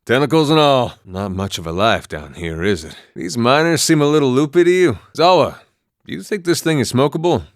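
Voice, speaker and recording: An actor playing a laid-back, wry farmer and war veteran in a video game, with a folksy drawl and dry, understated humor. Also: male voice, mid-range voice, expressive, a little fast, no room sound, natural voice. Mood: easygoing, dry. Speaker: video game companion character. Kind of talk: video game voice acting, story, banter and fight barks.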